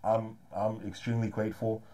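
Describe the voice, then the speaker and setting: Fast-talking man in his thirties, low voice. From Los Angeles area, California. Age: 30s. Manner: fast, energetic, repeats "man" often. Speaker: comedy and hip-hop promoter, guest. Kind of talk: casual interview chat.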